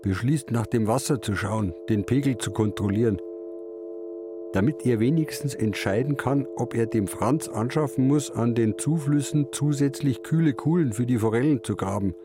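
A man's voice: medium pitch, normal speed, over music, natural voice. An actor playing a stubborn, brooding old fisherman, telling his story in a radio drama. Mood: worried, grumbling. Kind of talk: literary radio drama narration in third person.

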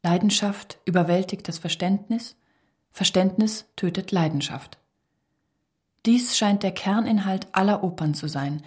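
A woman reading a scripted literary text in a measured, formal way. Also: mid-range voice. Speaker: reader of a literary radio text.

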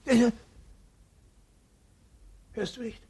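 An actor playing a cold, health-obsessed husband in a 1950s German horror radio play, speaking with husky, fretful deliberation. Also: male voice, drawn-out words, dark tone. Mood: anxious. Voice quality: husky, creaky.

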